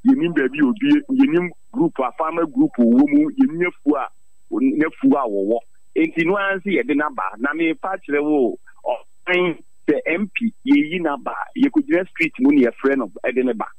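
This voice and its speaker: Ghanaian guy, gravelly voice, impassioned radio interview, dry lo-fi sound, keeps asking pointed questions. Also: fairly high pitch, sing-song, normal speed, no echo. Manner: emphatic, rhetorical questioning. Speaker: farmers' association president, interviewee.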